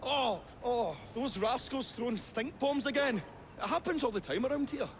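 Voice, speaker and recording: Animated, genteel British gentleman inventor. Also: very high voice, normal speed, a little hiss or hum. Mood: mocking, playful. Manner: hesitant, wordy, genteel, theatrical. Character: eccentric, polite, nervy young Victorian gentleman inventor. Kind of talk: video game cutscene acting.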